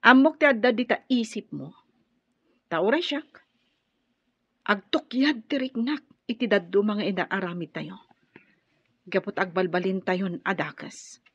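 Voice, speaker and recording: A woman's voice: mid-range voice, fairly bright tone, husky. A woman playing a grim older rebel comrade who earnestly admonishes a younger woman in an Ilocano radio drama. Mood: worried, grave. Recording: dull, roomy, lo-fi radio recording.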